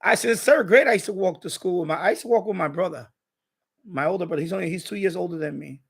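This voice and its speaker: A man's voice: sits high, quick pace, vocal fry, breathy edge. A middle-aged man from Puerto Rico chatting casually on a livestream, rambling and often repeating himself. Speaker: live streamer, radio hobbyist.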